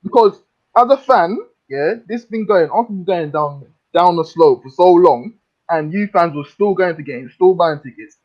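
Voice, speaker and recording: A man's voice: sits high, animated, normal speed, lo-fi sound. A man, pressing his point with repeated questions in a stream debate. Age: 20s. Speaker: fan panelist.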